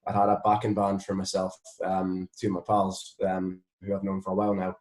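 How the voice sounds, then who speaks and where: Scottish male guest, lowish pitch, informal conversational delivery, echoey room sound. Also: monotone, brisk, darkish tone. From Scotland. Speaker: interview guest, musician and songwriter. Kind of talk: casual interview chat. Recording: roomy, echoing sound.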